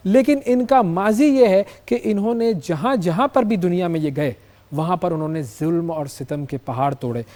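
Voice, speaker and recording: A man with a Pakistani accent presenting and interviewing as a current affairs host, in a measured, emphatic, formal manner. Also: on the high side, a little mellow. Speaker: news programme host.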